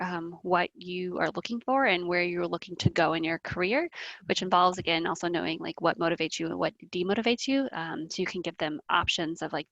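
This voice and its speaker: A woman's voice: lowish voice, a bit bright, no room sound, dull audio. A young woman speaking informally and colloquially as a panelist, with plenty of "like". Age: young adult. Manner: colloquial, says "like" often.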